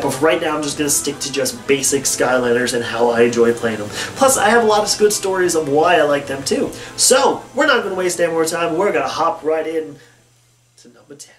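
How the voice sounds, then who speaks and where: A man's voice: fairly high pitch, a little fast, faint music behind. A young man in his twenties, nasal from the flu, talking casually and animatedly to camera as the host of a gaming channel in a small bedroom. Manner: animated, gesturing with both hands. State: nasal, getting over the flu. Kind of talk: casual direct-to-camera talk. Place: small bedroom with posters on the wall. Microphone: webcam or camera a few feet away. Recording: roomy, distant camera audio.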